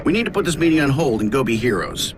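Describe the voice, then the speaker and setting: An actor playing a cocky, wisecracking armored superhero in a video game, glib, sardonic and self-assured. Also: male voice, mid-range voice, sing-song, fast talker, lightly acted. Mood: glib, confident. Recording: game music and engine noise behind.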